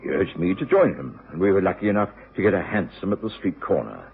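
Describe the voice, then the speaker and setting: An actor playing a loyal middle-aged British gentleman doctor, telling the story earnestly and formally in old radio drama style. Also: sits low, sing-song, fast talker, creaky, full character voice. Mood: earnest storytelling. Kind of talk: 1950s-60s radio drama acting and narration. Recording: muffled, noisy old radio recording.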